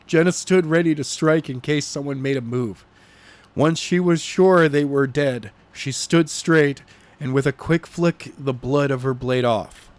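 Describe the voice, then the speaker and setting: A man narrating a novel chapter in an animated amateur audiobook style, stumbling and correcting himself as he reads. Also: a little high. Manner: halting, stumbling read with self-corrections.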